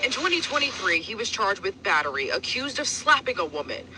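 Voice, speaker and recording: A male news reporter reading a scripted TV news report in a crisp, measured delivery. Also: high-pitched, sing-song, pushed and bright, faint music behind. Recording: noisy played-back news clip.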